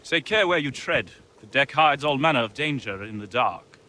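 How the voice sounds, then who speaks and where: An actor playing an easygoing, watchful deckhand on night watch in a video game. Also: male voice, mid-range voice, animated, normal speed, sharp tone, a little hiss or hum. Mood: relaxed, cautionary. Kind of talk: video game cutscene acting.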